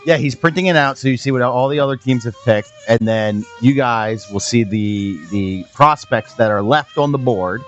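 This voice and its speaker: A man's voice: mid-range voice, normal speed, hardly any pauses, a bit bright, muffled recording, faint music behind. A man on a sports radio show explaining the segment's setup and cracking dry jokes. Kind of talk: casual sports radio banter.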